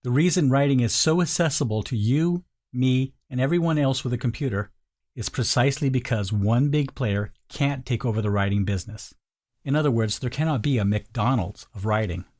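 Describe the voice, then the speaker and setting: A man's voice: medium pitch, darkish tone. A man narrating a scripted sales video in a conversational, persuasive manner. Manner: conversational, persuasive, rhetorical questions.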